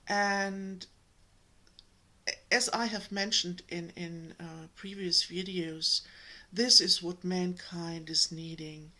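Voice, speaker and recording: A woman from Germany with a German accent, giving a slow, gentle spiritual talk to her viewers, pausing often. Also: fairly low pitch, fairly even intonation. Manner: slow, gentle, with many pauses and ums. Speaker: spiritual coach.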